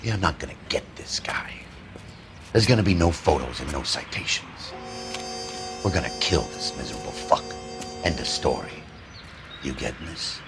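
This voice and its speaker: Very deep, bitter old-school cop. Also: male voice, animated, normal speed, vocal fry, long pauses, over loud music, exaggerated voice. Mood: bitter, grim. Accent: American. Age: middle-aged. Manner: menacing, blunt, hard-boiled. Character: bitter, world-weary middle-aged male cop, war veteran. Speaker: police detective.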